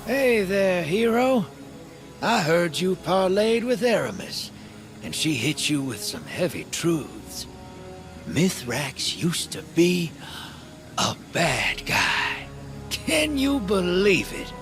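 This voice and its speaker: A roguish drifter in a video game, full of folksy swagger. He drawls slowly in teasing slang, his pitch sliding up and down a lot. Faint music sits underneath. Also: sing-song, a bit bright, full character voice. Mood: amused, teasing. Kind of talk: video game character monologue.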